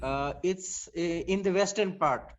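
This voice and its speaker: A man's voice: high-pitched, a little slow. A man from Gujarat in India joining a workshop over a video call, hesitant and full of fillers. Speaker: workshop participant. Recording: muffled video-call audio.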